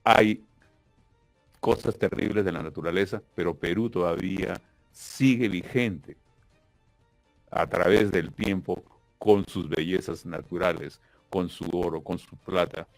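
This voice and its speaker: A man's voice: low voice, unhurried, dry recording, faint music behind. A man from Peru with a Peruvian Spanish accent, a political candidate giving a solemn, emphatic campaign speech in an interview and repeating words as he searches for them.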